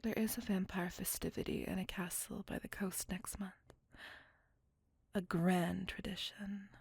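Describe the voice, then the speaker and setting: A woman voicing a lovesick, possessive vampire lady in an ASMR roleplay, courtly and formal, in a breathy whisper. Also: mid-range voice, little pitch movement, a little slow, a little mellow, dry recording, full character voice. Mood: courtly, coaxing. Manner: formal, old-fashioned, courtly wording. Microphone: close microphone.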